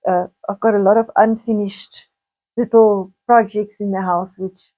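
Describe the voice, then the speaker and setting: A woman with a South African accent and a slightly croaky voice, chatting casually in a rambling vlog monologue, repeating words and drifting into asides. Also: medium pitch, fairly even intonation, measured pace, mellow, poor audio. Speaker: video blogger.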